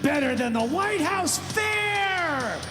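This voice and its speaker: A man doing a shouting, high-pitched festival ad announcer. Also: very animated, heavily acted. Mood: hyped. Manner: shouting hype, drawn-out. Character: over-the-top male ad announcer hyping a festival. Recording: echoey hall with music under it.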